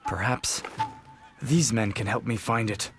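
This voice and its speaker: A man playing an earnest, brooding young samurai in a video game, speaking gravely and introspectively. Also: lowish voice, measured pace, close to an everyday voice. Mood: solemn, reflective. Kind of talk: solemn video game voice acting. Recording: game cutscene with clear music behind.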